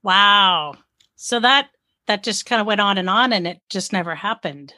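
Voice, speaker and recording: A woman's voice: medium pitch, expressive, normal speed, sharp tone, no echo. A woman hosting an interview, friendly and enthusiastic, with short affirming reactions. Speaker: interview host.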